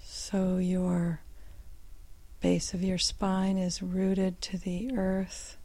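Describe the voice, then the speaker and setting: A woman guiding a meditation with gentle, soothing, unhurried instructions and long pauses, in a soft and at times breathy voice. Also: lowish voice, level tone, dry recording. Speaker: meditation guide. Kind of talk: guided meditation.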